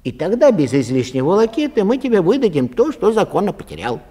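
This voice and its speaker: A man's voice: sits high, wildly swooping pitch, a little fast, warm tone, breathy edge, voice pushed up, heavily acted. A man playing a polite but unbudging lost-property clerk in a comic story, bureaucratic and colloquial. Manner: bureaucratic, colloquial, matter-of-fact. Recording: long hall reverb.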